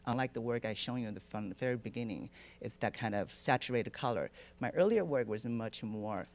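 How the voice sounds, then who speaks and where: A man's voice: mid-range voice, a little fast. Middle-aged man, mid voice, hesitant, wry; handheld mic, lecture hall. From Taiwan. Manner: relaxed, hesitant with many fillers, self-deprecating humor. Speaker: artist giving a lecture. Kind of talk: lecture presentation. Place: lecture hall with projection screen. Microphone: handheld microphone.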